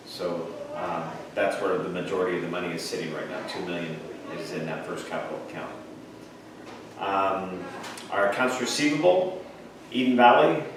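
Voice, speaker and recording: A man giving an explanatory financial report at a board meeting in a plain-spoken, informal way. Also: fairly low pitch. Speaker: finance officer presenting a report. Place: indoor public board meeting room. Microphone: distant room microphone. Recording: echoey meeting room, distant mic, faint noise.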